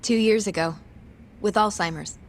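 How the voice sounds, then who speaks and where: A woman's voice: medium pitch, moderate pace, close to an everyday voice. Woman as a polite, reserved young medical professional. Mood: matter-of-fact. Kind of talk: English video game dub acting.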